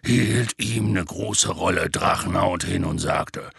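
A man voicing a big, rough, weary half-giant who tells his tale in a plainspoken, rambling, colloquial way, husky and gravelly, for an audiobook. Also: deep voice, takes it slowly, fairly bright tone, dry recording, clean studio sound, full character voice. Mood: dogged, animated. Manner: colloquial, rambling, matter-of-fact storytelling. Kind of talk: audiobook character dialogue.

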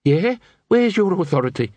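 A man reading an audiobook, voicing a gruff, suspicious male quartermaster who answers curtly. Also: high-pitched, big pitch swings, moderate pace, a little mellow, dry recording, pitched above the performer's own voice, full character voice. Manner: curt, grudging.

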